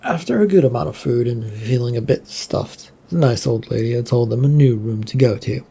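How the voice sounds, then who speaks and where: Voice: mid-range voice, moderate pace, gravelly, mellow, breathy edge. A person reading a fantasy story aloud as scripted narration.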